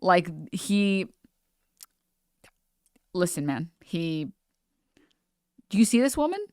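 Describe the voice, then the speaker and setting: A woman's voice: fairly low pitch, big pitch swings, takes it slowly, a little mellow, clean tone, no room sound, clean studio sound. A young woman streamer giving chatty, sarcastic commentary while reacting to a video.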